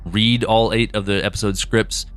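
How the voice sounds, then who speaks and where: A man's voice: on the low side, fairly even intonation, moderate pace, a bit bright, clear, resonant tone, faint music behind. A man co-hosting a podcast and chatting casually, rambling with frequent restarts. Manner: rambling, restarts often, laughs easily. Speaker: co-host and writer.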